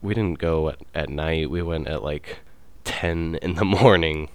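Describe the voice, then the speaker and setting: A man's voice: extremely low pitch, measured pace, no echo. A man hosting his own podcast, talking in a laid-back, rambling way with loose fillers. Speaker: podcast host. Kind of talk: casual solo chat.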